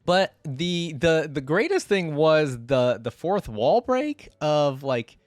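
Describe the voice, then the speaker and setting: A man's voice: fairly high pitch, very animated, slow pace, dry recording, studio quality. A man giving casual, informal reaction commentary as a video host, often checking in for agreement. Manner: chatty, informal, often seeks agreement with "right?".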